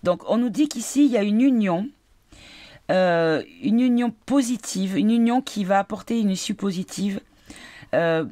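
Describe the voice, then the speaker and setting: A woman giving a casual card reading, tired and congested from a cold, halting as she searches for her words. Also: mid-range voice, animated, dark tone. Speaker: card reader.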